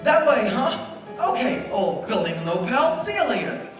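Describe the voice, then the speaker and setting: A distant, echoing stage recording with music behind. A genial, muddled older man acted by a woman speaks with a very animated, swinging pitch in radio play style. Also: a little slow. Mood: tipsy, exuberant. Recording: echoey hall from far off, music behind.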